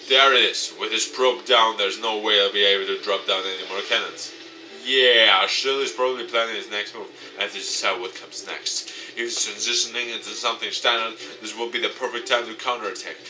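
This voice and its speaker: A man reading a visual novel aloud as a let's player, with expressive dramatic narration. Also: on the low side, noisy background. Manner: expressive, acts out dialogue.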